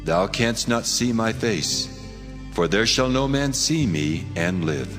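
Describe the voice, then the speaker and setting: A man's voice: fairly low pitch, lively intonation, slow. A man voicing a solemn, commanding God in a dramatized scripture reading, declaiming his words. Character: the Lord God, solemn commanding male deity. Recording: long cavernous reverb, music bed. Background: music.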